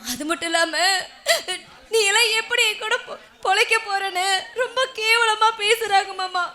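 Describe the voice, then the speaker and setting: A woman's voice: very high voice, moderate pace, over music. A woman playing a distraught, self-sacrificing and stubborn wife in an emotional, pleading melodrama. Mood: tearful, hurt. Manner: emotional, pleading, often tearful. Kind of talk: melodramatic Tamil drama acting.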